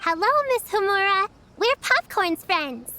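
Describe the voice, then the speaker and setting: Very high-pitched little girl. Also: expressive, measured pace, sharp tone, exaggerated voice. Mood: cheerful, reassuring. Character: polite, helpful little girl, earnest and flustered. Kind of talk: video game voice acting.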